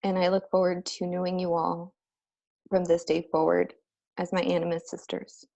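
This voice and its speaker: A woman with a slightly low voice speaks earnestly and reflectively. She delivers a heartfelt ordination address in a ceremonial tone. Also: little pitch movement, moderate pace, gravelly, mellow. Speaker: ordained minister giving a speech.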